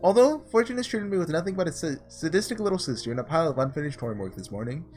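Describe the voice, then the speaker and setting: A man doing a casual let's play, reading story text aloud and breaking off into excitable asides, with game music behind him. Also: on the high side, rapid-fire. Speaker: gaming video creator doing a blind playthrough.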